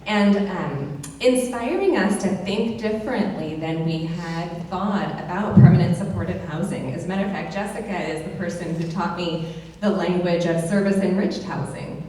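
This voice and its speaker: A woman with a big voice giving a lively speech at a ribbon-cutting ceremony, rousing the crowd. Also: lowish voice, normal speed. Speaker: behavioral health official giving a speech. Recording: distant echoey outdoor PA sound.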